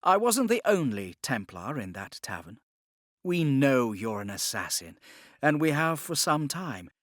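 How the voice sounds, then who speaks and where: A man voicing a smug, unruffled gentleman agent of a secret order in an audiobook, with a polished, formal, unhurried manner. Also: medium pitch, big pitch swings, no room sound, studio quality. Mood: composed, assured.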